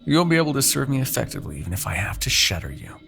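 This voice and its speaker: A man playing a smug, commanding Demon King in a fantasy ASMR roleplay, taunting and possessive, with theatrical, deliberate phrasing. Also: medium pitch, very animated, gravelly, pushed and bright, soft background music, exaggerated voice. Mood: commanding, scornful.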